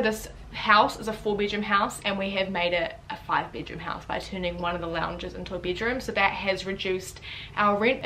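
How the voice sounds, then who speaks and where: A young woman with a New Zealand accent talks quickly and casually straight to the camera. Her delivery is chatty and loose, full of little fillers. She sits in a small bedroom with a faint hum of room ambience behind her. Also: mid-range voice, fairly bright tone, poor audio. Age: young adult. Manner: chatty, fast, lots of "like" fillers, gestures with hands. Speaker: vlogger, university student. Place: bedroom, indoors. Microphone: camera in front at chest distance.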